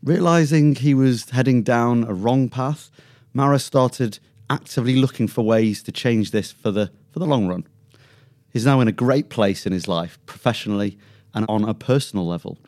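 A man's voice: medium pitch, normal speed, darkish tone. A man hosting a podcast interview, friendly and conversational, sometimes restarting his phrases. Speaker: podcast host.

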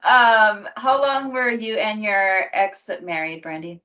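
A woman's voice: medium pitch, expressive, slow pace, a bit bright. A woman in her forties hosting a podcast, playful and self-teasing, laughing often in casual chat. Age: 40s. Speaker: podcast host.

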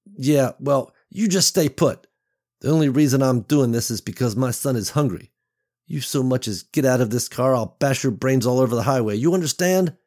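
A man's voice: mid-range voice, normal speed, few pauses, warm tone, voice pushed up, close to an everyday voice. A man voicing a fierce, protective mother in an audiobook, speaking sharply and threateningly with a raised voice. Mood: angry, defiant. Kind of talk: audiobook character voice.

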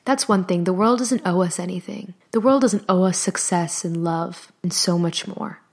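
Earnest woman podcaster, slightly hoarse. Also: fairly low pitch, normal speed, a little mellow. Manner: earnest, encouraging, passionate. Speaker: podcast host. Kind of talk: motivational solo podcast talk. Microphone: studio microphone.

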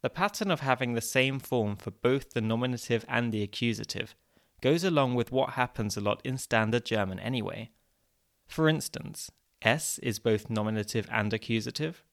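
A man hosts a podcast and explains points in a clear, scripted way. He has a lightly wry touch and a voice of middling pitch. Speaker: podcast host.

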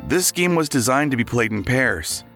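A man's voice: medium pitch, animated, normal speed, a bit bright, faint music behind. A man playing an affable, slightly fussy and flustered husband hosting guests in an audio drama. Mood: polite, flustered.

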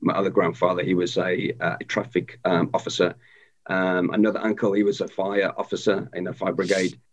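Man, low-pitched, hesitant, many restarts, muffled lo-fi audio. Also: flat delivery, fairly quick, slight background noise. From South Africa. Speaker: guest, army veteran. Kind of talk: casual interview conversation. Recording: dull lo-fi sound.

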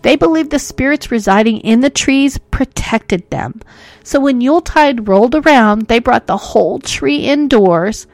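A woman's voice: on the high side, lively intonation, measured pace, a little mellow, no room sound. Playful woman hosting a comedy history podcast. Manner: playful and self-mocking, with frequent jokes and laughs. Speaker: podcast host.

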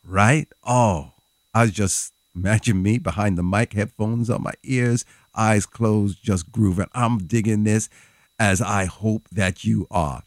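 A man's voice: lowish voice, slow, no echo. A man with an allergy-congested voice chatting casually and enthusiastically as the DJ of a music show.

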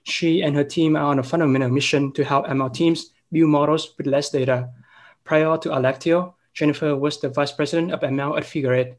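A man hosting a podcast interview, reading the guest intro and asking questions padded with "you know". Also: fairly high pitch. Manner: reads the intro, then asks with "you know" fillers. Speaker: podcast host.

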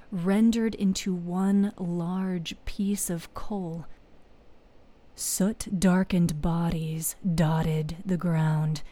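A woman narrating a scripted fantasy story dramatically, with measured phrasing and deliberate pauses, as a podcast storyteller. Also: lowish voice, mellow, no room sound. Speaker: narrator and producer of a fiction podcast.

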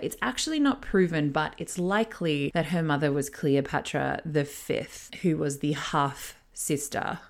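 Low, gravelly-voiced woman telling history. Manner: chatty, irreverent asides. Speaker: history podcast host. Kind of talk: casual storytelling about history.

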